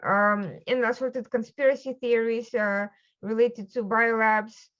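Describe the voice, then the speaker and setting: A woman hosting a talk show and introducing her guest in a measured, formal way. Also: a little high, steady tone, fairly bright tone. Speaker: host and editor-in-chief. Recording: slightly muffled lo-fi audio.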